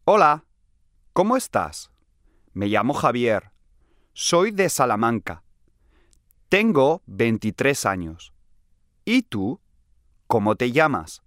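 A man playing a friendly young man in a scripted language-lesson dialogue, clearly enunciated. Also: medium pitch, big pitch swings, takes it slowly, pauses often, fairly bright tone, no echo, studio quality. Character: friendly young man from Salamanca, aged twenty-three.

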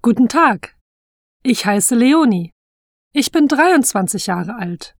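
A young woman reading scripted lines for a language listening exam, slowly and carefully. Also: on the high side, animated, no room sound, clean studio sound. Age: 20s. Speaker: exam dialogue reader.

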